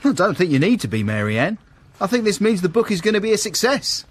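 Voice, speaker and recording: Lively, wry English footman. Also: high-pitched, fast talker, a little hiss or hum. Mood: pleased, wry. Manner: wry, mannered, deferential. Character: wry, nervous young English footman and secret author. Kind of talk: first-person narration and acting in radio comedy-drama.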